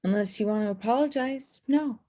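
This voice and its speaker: A woman's voice: a little high, fairly quick, dark tone, close to an everyday voice. A woman playing a stubborn teenage girl snowboarder in a screenplay table read, with a dry, sarcastic manner. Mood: indignant.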